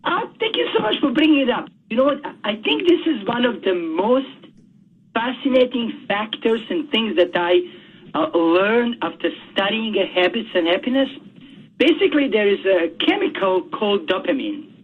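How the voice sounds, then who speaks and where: Guy calling into a radio show, kinda high and husky, stumbles and repeats himself, phone line sound. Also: very animated. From Bosnia. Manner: halting, repeats words often while searching for them. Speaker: author guest.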